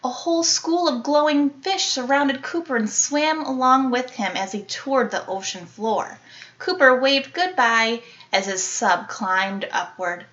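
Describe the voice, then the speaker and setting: Bright-voiced woman reading a children's picture book. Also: high-pitched, highs cut off. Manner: animated, dramatic emphasis with sound-effect words. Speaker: elementary school teacher reading aloud. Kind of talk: expressive children's picture book read-aloud.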